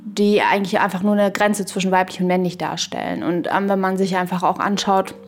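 A woman in a reflective podcast conversation, speaking in a halting, searching way and often adding little tag questions. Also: medium pitch, level tone.

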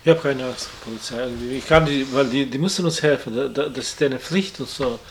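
A man from abroad answering interview questions in a halting way, repeating words and restarting sentences. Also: mid-range voice, a little hiss or hum. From outside Germany. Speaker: interviewee, acquaintance of the victim. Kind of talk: hesitant conversational interview.

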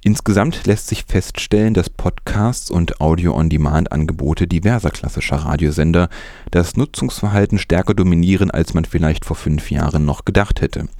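A man's voice: deep voice, warm tone, dry recording, studio quality. A man presenting scripted news as a narrator.

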